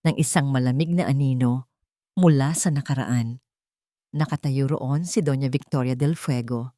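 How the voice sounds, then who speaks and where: A woman's voice: sits low, a little mellow, no room sound, studio quality. A woman storyteller reading a dramatic scripted narration.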